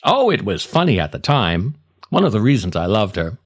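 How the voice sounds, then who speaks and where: A middle-aged man reading a scripted comic monologue as a podcast host, in a wry, self-deprecating way with theatrical pauses. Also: lowish voice, sing-song. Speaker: podcast host, writer reading own essay.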